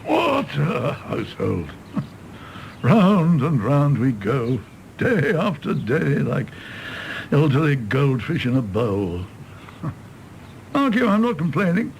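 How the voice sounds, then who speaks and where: A man's voice: on the high side, big pitch swings, takes it slowly, creaky, pauses often, slight background noise, heavily acted. A man with an English accent playing a rueful, tippling elderly doctor in a radio drama, wry and rambling. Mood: wry, weary. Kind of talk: stage play performed as broadcast drama.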